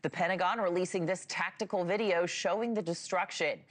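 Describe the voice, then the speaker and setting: Lower-voiced American female news anchor. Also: steady tone, a little fast. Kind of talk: broadcast news presenting.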